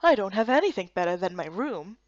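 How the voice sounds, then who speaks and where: A woman's voice: mid-range voice, sing-song, fairly quick, dry recording, lo-fi sound, pitched below the performer's own voice, natural voice. A woman voicing a smug, sarcastic male guard leader in a visual novel game, sardonic and condescending.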